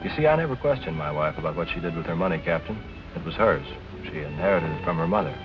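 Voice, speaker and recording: An actor playing an earnest, defensive husband under suspicion, in a 1940s film noir style. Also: male voice, sits low, lively intonation, fast talker, poor audio. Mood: guarded. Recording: old film soundtrack with music behind.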